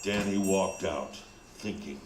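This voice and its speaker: A man's voice: sits low, slow pace. A man reading scripted narration for a radio play. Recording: roomy, with faint background noise.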